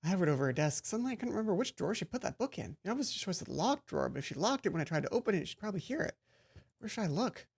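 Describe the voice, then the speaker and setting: A woman's voice: mid-range voice, racing, vocal fry, husky. A woman let's player reading a visual novel aloud expressively, now and then stumbling and repeating a line. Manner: expressive, stumbles and rereads lines.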